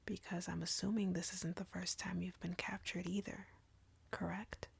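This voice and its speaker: Woman voicing a breathy, whispering, arrogant vampire woman. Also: on the low side, little pitch movement, heavily acted. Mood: menacing, taunting. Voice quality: breathy, husky near-whisper with creak. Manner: slow, taunting, condescending, teasing. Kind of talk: scripted roleplay audio, intimate ASMR-like delivery. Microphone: close, dry microphone.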